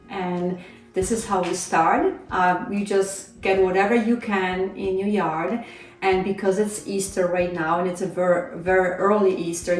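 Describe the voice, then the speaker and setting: A woman's voice: medium pitch, reverberant, distant mic. Woman hosting a casual craft chat. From Poland. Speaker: host.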